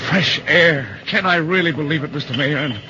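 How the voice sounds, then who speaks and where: An actor playing an excitable, self-satisfied man just cleared in a 1940s radio drama, eager and effusive. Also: on the high side, normal speed, slight background noise. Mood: relieved, elated. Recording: dull 1940s radio recording.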